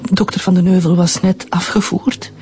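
An actress with a Flemish accent playing a middle-aged anesthetist and widow who speaks softly and hesitantly. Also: female voice, fairly low pitch, little pitch movement, moderate pace, lightly acted. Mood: sorrowful. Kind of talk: radio drama acting.

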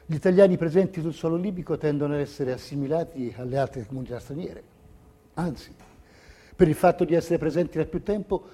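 An actor playing a narrator who recounts history and memories in scripted documentary theatre. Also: male voice, on the high side, dark tone, slightly rough. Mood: earnest. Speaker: stage actor.

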